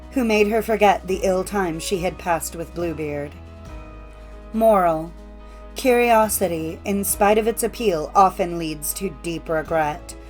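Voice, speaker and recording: Female narrator for classic fairy tales: medium pitch, formal scripted storytelling over music. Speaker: audiobook narrator.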